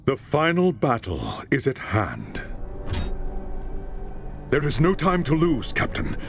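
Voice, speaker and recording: A man playing a solemn, commanding loremaster in a fantasy video game, giving grave and urgent counsel in measured phrases. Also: medium pitch, huge pitch swings, vocal fry, over music, exaggerated voice. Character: grave, commanding male high lore master mage. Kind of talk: dramatic video game cutscene acting.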